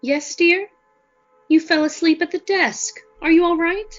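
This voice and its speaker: A woman's voice: very high-pitched, measured pace. A woman playing a sweetly menacing schoolteacher in a horror audio drama, cooing patronizing endearments. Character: sweetly menacing female schoolteacher, cloying and controlling. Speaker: actor. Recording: dull, echoey hall reverb over music.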